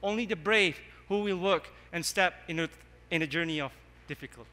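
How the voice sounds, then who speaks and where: Earnest young man, bright rallying speech. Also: a little high, brisk. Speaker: candidate giving a campaign speech. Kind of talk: prepared speech at a podium. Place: large conference hall. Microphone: podium microphone. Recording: long hall reverb.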